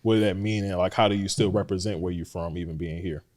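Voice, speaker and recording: A man's voice: low-pitched, steady tone, fast talker, a little mellow. A man from Atlanta with a Southern accent, hosting a casual interview and affirming the guest. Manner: laid-back, lots of fillers, affirming. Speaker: host.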